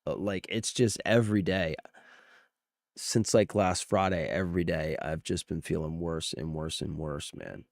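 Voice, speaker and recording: Male livestream host, informal commentary, lower register, under the weather with a strained voice, dry recording. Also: fairly even intonation, a little slow, creaky, dry recording. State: sick, voice strained from near loss. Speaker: live streamer host. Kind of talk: casual livestream commentary on gaming news.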